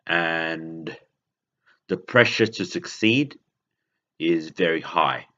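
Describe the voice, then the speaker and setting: Very deep-voiced man from Indonesia, halting. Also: sharp tone. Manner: rambling, self-correcting, halting. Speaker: podcast host. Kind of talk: casual monologue. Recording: dull lo-fi recording.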